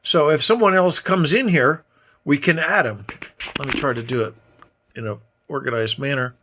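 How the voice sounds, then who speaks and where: A man with a somewhat higher-pitched voice talks through a homemade hobby demonstration. He is informal and folksy, explaining each step in a casual way as he shows it on a handheld camera indoors. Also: normal speed, sharp tone. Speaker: amateur radio hobbyist presenter. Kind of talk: casual hands-on demonstration talk.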